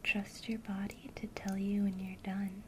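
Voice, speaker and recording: Woman, ASMR lesson host, soft unhurried whisper, faint hiss behind. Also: mid-range voice, level tone. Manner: slow, soft, gentle whispering. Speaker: ASMR creator teaching witchcraft.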